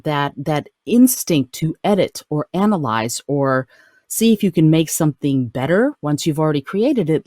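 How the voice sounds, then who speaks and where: A woman speaker giving an emphatic, lively explanation straight to the listener. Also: deep voice, dry recording, studio quality.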